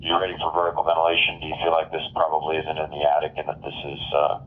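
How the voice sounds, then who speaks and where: Tinny two-way radio, American man, very deep, flat and fast, clipped procedural commander. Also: a little hiss or hum. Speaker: fire incident commander. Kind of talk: fire radio dispatch traffic. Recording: narrow tinny fireground radio transmission.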